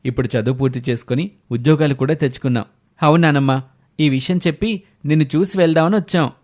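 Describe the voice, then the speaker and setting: A man's voice: fairly high pitch, fast, darkish tone, lightly acted. Man acting an earnest, dutiful young man. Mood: caring. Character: respectful young adult grandsons, earnest, dutiful, caring brothers. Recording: music behind the voice.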